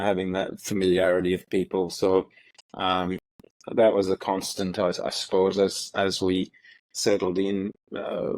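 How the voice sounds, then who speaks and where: A middle-aged man from Ethiopia, telling his story as an interview guest in a halting way, with many fillers and repeated words. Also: low-pitched, a little mellow, no room sound, low-quality recording. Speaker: interview guest, retired prosecutor. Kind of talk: relaxed reflective storytelling.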